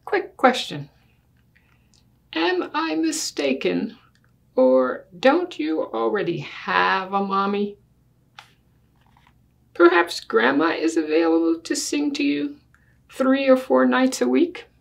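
An older woman reading a picture book aloud to children in a deliberate manner, putting questions to her listeners. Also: high-pitched, very animated, vocal fry. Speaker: story time reader. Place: small indoor room with books and wall quilt.

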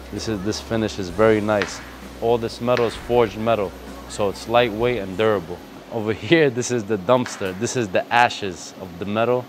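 A man in his thirties hosting a casual, hyped walkthrough tour of a factory, speaking in laid-back street slang. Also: lowish voice. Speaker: vlog host touring a wheel factory. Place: large indoor factory floor. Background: background music.

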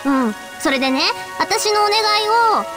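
Brash anime game girl: piercingly high, animated, mouthy and excitable. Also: normal speed, music in the background. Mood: cheeky, evasive. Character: brash, hot-tempered, cheeky teenage girl. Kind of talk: Japanese anime-style game voice acting.

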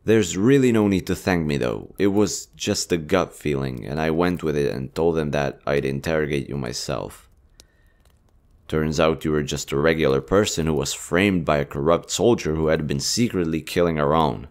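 Man acting a very deep-voiced, tender army general. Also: moderate pace, a little mellow. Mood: apologetic, sincere. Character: kind, earnest young male army general, secretly fond. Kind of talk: romantic audio roleplay acting.

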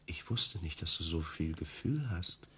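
An actor playing an evasive bridegroom with dry, laconic humour in a radio play. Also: male voice, low-pitched, animated, a little slow, pushed and bright, a little hiss or hum, exaggerated voice. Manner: laconic, evasive, dryly self-mocking. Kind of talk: German radio play acting.

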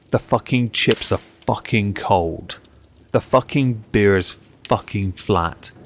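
A man reciting poetry in measured, deliberate short phrases, as the reader on his own channel. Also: on the low side, a little hiss or hum.

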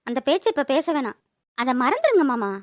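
A woman as a very high-voiced, dutiful young wife. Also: very animated, fairly quick, no echo, clean studio sound. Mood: pleading. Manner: earnest, gentle, respectful. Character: dutiful, soft-hearted young daughter-in-law. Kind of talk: cartoon voice-over dialogue.

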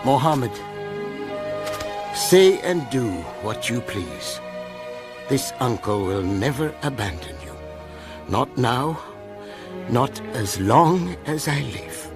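Slow, dignified old clan elder. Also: male voice, medium pitch, lively intonation, over music. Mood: resolute. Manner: grave, measured. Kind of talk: animated film acting.

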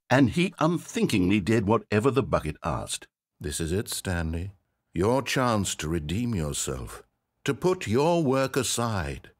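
A man's voice: fairly low pitch, no room sound, studio quality. An actor playing a smug, mock-polite male narrator of a video game, speaking with sardonic theatrical wit.

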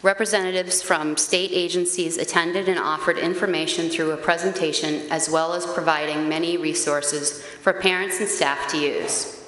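A woman in her forties with a loud, carrying voice, reading a prepared statement at a podium microphone in a town meeting chamber. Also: on the low side, normal speed, few pauses. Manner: earnest, steady reading with occasional hesitant fillers. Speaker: parent group facilitator at a public meeting. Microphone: gooseneck podium microphone. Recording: echoey hall, faint background hiss.

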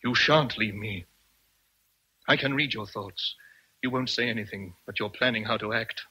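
An actor playing a passionate, domineering English gentleman in a radio drama, intense, pleading and threatening. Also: lowish voice, moderate pace, husky, heavily acted, low-quality recording. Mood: desperate, threatening.

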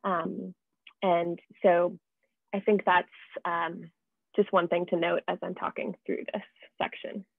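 Young American woman, presenter on a video call, creaky voice, dull narrow audio, informal and explanatory. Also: lowish voice, little pitch movement, slow pace, long pauses, no room sound. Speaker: project presenter. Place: indoors at home, on video call. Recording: muffled, band-limited video call audio.

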